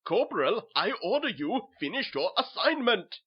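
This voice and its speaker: Man acting a swooping, husky, commanding German butcher. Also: high voice, big pitch swings, normal speed, pitched above the performer's own voice, heavily acted. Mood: insistent, imperious. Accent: put-on German. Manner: coaxing, insistent, imperious. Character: sly, commanding male German butcher and officer, falsely jovial. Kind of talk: theatrical horror story acting. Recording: dull lo-fi home recording.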